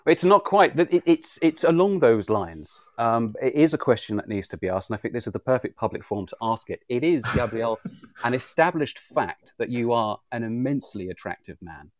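A man's voice: mid-range voice, lively intonation, a little fast, dry recording. A British man in an informal live conversation, speaking in a wry, effusive, mock-formal way. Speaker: audio drama writer, interviewee. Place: remote live podcast session.

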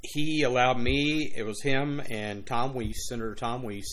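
A man's voice: mid-range voice, fairly even intonation, a little slow, a bit bright, rough recording. Man in his sixties telling cop stories. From Louisiana, USA. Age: 60s. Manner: long rambling anecdotes with many "you know" asides. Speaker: retired police officer and author, interview guest. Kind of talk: casual conversational interview.

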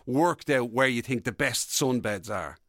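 A man's voice: mid-range voice, unhurried, a bit bright, no room sound, studio quality. An Irish man co-hosting a radio show in casual chat, often circling back and repeating himself as he explains. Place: radio studio.

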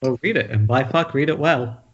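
Casting: man as erratic writer-director, raspy mid-range voice, deadpan comedic script read. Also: mid-range voice, moderate pace, natural voice. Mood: demanding. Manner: blunt, offhand, erratic. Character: blunt, erratic, drug-addled male horror writer turned director. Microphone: remote video-call microphone. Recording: muffled internet call.

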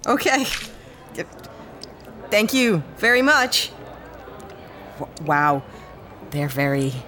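An actress playing a sardonic, blunt ex-cop starship captain down on her luck, in a sci-fi audio drama. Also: mid-range voice. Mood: awkward, polite. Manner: sardonic, blunt, hesitant when awkward.

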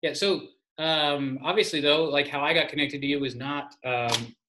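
A man's voice: fairly high pitch, fairly even intonation, normal speed, noisy background. A man hosting an interview podcast, chatty and enthusiastic, with many fillers and restarts. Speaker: podcast host. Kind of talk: interview podcast intro and chat.

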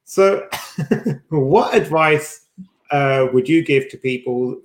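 A man's voice: fairly high pitch, slow pace. A man hosting a podcast, putting questions to a guest in a casual, conversational way. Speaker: podcast host. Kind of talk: casual interviewing. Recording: echoey room.

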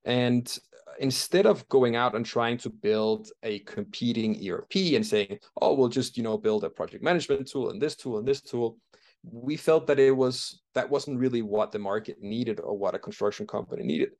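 A man from Denmark, a startup founder in his thirties, answering interview questions in a relaxed, searching way with many fillers and restarts. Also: mid-range voice, moderate pace. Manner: thoughtful, frequent fillers and restarts while explaining.

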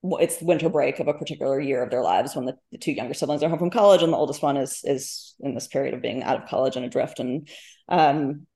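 A woman speaking as a guest author in a casual interview, thoughtful and halting, often correcting herself mid-sentence. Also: rumbling low, warm tone. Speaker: guest author, short story writer. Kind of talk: casual literary interview chat.

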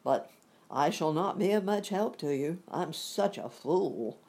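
A woman's voice: low-pitched, animated, unhurried, a little mellow. A woman voicing a humble, self-doubting straw scarecrow in a storybook reading, meek and self-deprecating.

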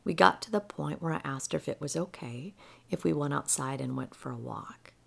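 A woman gently telling a personal story about healing a rescued dog, her voice soft and often dropping to a near whisper. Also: deep voice, vocal fry. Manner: gentle and slow-paced. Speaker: animal healer.

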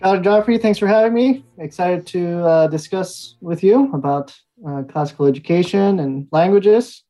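A man in his thirties from Mexico, speaking as an interview guest, telling his story in a relaxed way with frequent fillers and small laughs. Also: high voice, normal speed, faint music behind, rough recording. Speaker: guest, language institute founder. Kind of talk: conversational interview answers.

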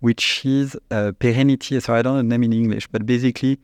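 A man with a French accent, a company CEO, answering interview questions in a halting, searching way with frequent restarts. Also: medium pitch, fairly even intonation, a little mellow, no room sound. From France. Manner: halting, restarts often, searches for English words.

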